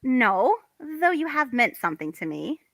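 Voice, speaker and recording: A woman's voice: a little high, sing-song, normal speed, creaky, fairly bright tone, no room sound, full character voice. A woman playing a wistful, melancholy young wife in a table read of a stage comedy.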